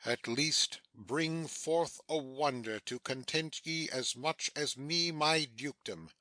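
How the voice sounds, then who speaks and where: A man playing a dignified, commanding older duke in a dramatic Shakespeare audiobook, speaking in a stately, deliberate way. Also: a little high, pushed and bright, dry recording.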